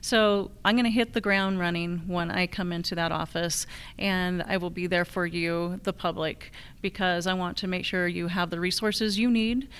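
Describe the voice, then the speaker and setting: An American woman answers questions at a candidate forum, speaking straight to the voters. Her voice sits a little lower than most women's and is strikingly clean and resonant. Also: steady tone, normal speed, a bit bright, clear, resonant tone. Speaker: political candidate at a public forum. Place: live candidate forum on a college campus.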